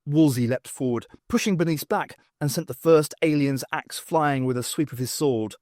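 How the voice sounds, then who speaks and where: A man narrating scripted fiction for an audiobook in an expressive, theatrical storytelling manner. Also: on the high side, moderate pace, no room sound, studio quality.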